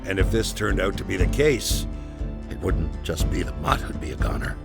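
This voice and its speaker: A guilt-worn camp inmate in a gritty audio drama. His voice is low and full of gravel, and he confesses haltingly, brooding and swearing, with music running underneath. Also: animated. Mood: grim, brooding. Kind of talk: first-person confessional audio drama monologue.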